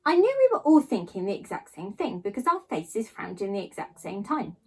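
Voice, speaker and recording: Woman, children's story narration, animated intonation, husky tone, expressive emphasis. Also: mid-range voice, brisk. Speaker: storybook reader. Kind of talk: scripted narration of a children's novel in a child's first person.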